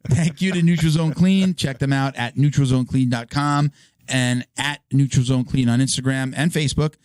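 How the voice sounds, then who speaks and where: Hoarse podcast host reading ads. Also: male voice, medium pitch, fairly even intonation, moderate pace, a little mellow, no room sound, studio quality. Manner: stumbling, filler-heavy ad reads. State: hoarse, losing his voice.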